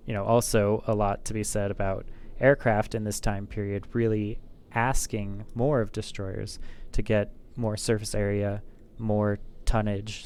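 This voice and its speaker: A man's voice: on the low side, gravelly, a little mellow, a little hiss or hum. A man streaming a naval battle game, talking casually and thinking aloud with many fillers and restarts. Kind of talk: casual gameplay commentary.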